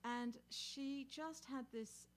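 A woman's voice: high-pitched, slow pace. A middle-aged woman talks informally from a small indoor stage. She sounds conversational, introducing a song to a small audience in a live recording with room sound. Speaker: singer-songwriter. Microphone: microphone on a stand.

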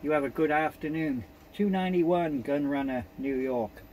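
Higher-pitched man on CB radio. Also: normal speed, darkish tone, a little hiss or hum, poor audio. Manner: polite, procedural, repeats call signs. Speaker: amateur CB radio operator. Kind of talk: radio contact chat with call signs.

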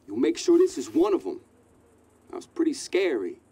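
An actor playing a shaken shop-worker recounting a robbery for a news report in a video game. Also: male voice, on the high side, huge pitch swings, moderate pace, dark tone, slight background noise.

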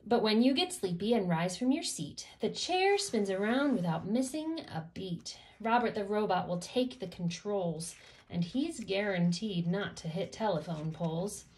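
A woman with a medium-pitched voice reads a rhyming picture book to children. Her delivery is expressive and follows the bounce of the verse, with clear stresses on key words. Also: measured pace, poor audio. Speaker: host reading a picture book aloud. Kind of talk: expressive rhyming storybook read-aloud for children.

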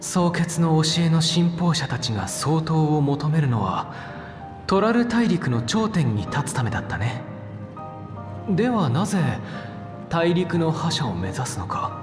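A thoughtful young companion with a fairly high voice. His speech is measured and gentle, in game-drama style, roomy with music behind. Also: sing-song. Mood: pensive. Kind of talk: dramatic video game voice acting. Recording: roomy echo under clear music.

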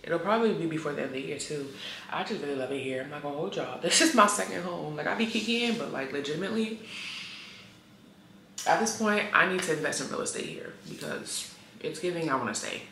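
A woman in her thirties talks straight to her viewers in a casual travel vlog, slangy and animated, breaking into loud laughs. Her voice sits fairly low, and it bounces around an echoey hotel room with a faint hum underneath. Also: fast, vocal fry. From Haitian heritage. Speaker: travel vlogger. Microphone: camera on tripod, some handheld selfie shots.

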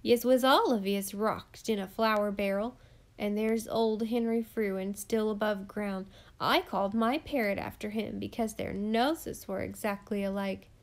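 A woman voicing a sharp-tongued, gossiping eccentric woman who mocks her neighbours in church loudly and with scorn. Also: mid-range voice, fairly even intonation, measured pace, close to an everyday voice. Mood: derisive. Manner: loud, derisive, scornful.

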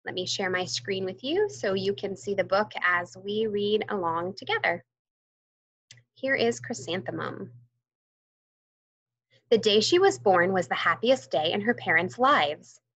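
A young woman, a teacher, reads a children's storybook aloud expressively, with careful, deliberate emphasis. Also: mid-range voice, animated, fairly bright tone, husky. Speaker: kindergarten teacher reading aloud. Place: indoors, on a screen-share video.

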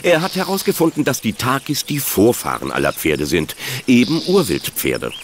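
A man's voice: medium pitch, very animated, moderate pace, background noise. An actor playing a friendly, easygoing middle-aged father and vet in a children's audio drama. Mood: genial.

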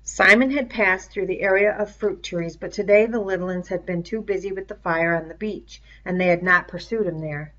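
Bright-voiced woman reading a novel aloud. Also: lowish voice, moderate pace, hardly any pauses, lo-fi sound. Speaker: audiobook reader. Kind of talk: scripted narration, novel read aloud.

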